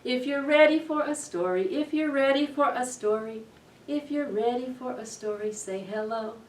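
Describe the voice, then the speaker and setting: A middle-aged woman hosting a children's storytime, reading aloud in an expressive, deliberate way to young listeners. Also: sits high. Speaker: library storytime host. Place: indoor library children's room. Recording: roomy echo, distant camera microphone.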